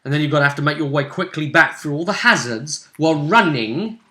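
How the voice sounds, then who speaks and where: A man's voice: on the high side, fairly quick, sharp tone. A British man talking casually and animatedly as game master, recapping a tabletop role-playing session and acting out little asides. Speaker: game master, host of a tabletop RPG vidcast.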